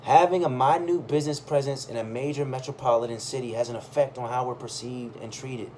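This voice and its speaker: A man reading a first-person essay aloud in an expressive, conversational storytelling way, with dramatic pauses and emphasis. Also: medium pitch, slight background noise, rough recording.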